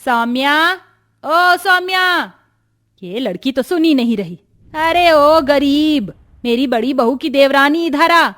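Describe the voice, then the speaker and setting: An imperious older mother-in-law from a family moral story, acted by a woman. Her voice sits fairly high. She scolds, taunts and orders people about with open scorn. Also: animated, takes it slowly, a bit bright, exaggerated voice. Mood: bossy, taunting. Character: domineering, scornful older mother-in-law, sweet only to favourite daughter-in-law. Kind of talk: dramatised moral story acting.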